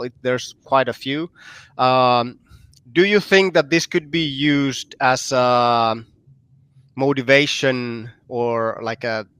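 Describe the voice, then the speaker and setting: A man's voice: mid-range voice, a bit bright, clean tone, dry recording. A man from Finland speaking thoughtfully in a casual panel discussion, hesitating with fillers and repeated words. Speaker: podcast co-host, commentator.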